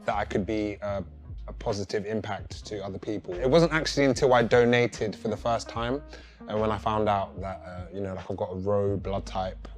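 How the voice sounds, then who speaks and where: A man's voice: fairly low pitch, fairly even intonation, a little fast. A young man from South London, earnestly describing his experience in a casual interview. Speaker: regular blood donor. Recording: light music in the background.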